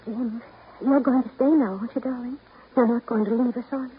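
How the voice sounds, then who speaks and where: A woman's voice: fairly high pitch, fairly even intonation, brisk, close to an everyday voice. Actress as anxious young woman, tinny old radio. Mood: fearful, pleading. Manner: intimate, imploring, emotional. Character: anxious, pleading young woman torn over marriage. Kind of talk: 1930s radio drama acting. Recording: muffled, noisy 1930s radio broadcast recording.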